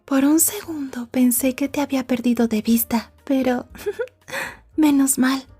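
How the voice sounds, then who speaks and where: Woman doing a possessive yandere schoolgirl gf, high but mellow voice, soft n polite, asmr roleplay. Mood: sweet, relieved. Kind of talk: Spanish ASMR roleplay acting.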